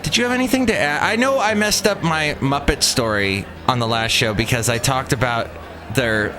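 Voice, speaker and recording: A man's voice: medium pitch, normal speed, music in the background. A man hosting a comedy radio chat show, talking in a playful, goofy, rambling way.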